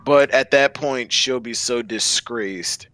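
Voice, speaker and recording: A man's voice: medium pitch, slow, sharp tone, no echo. Man in a casual chat, dry close sound. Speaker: voice-chat guest on a livestream. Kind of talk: casual, profane fan discussion.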